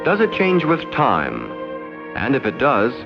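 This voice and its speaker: A man's voice: medium pitch, huge pitch swings, vocal fry, music in the background. Dull vintage film soundtrack, American male narrator. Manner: formal, measured, no-nonsense delivery. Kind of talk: 1960s educational film narration.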